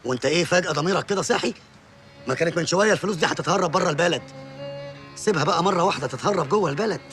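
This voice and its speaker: A man's voice: a little high, rapid-fire, fairly bright tone, soft background music, close to an everyday voice. An actor playing a frantic, wisecracking man with an Egyptian accent, who wails and jokes in a comic film scene. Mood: bickering. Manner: frantic, wailing, joking. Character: frantic wisecracking male sidekick.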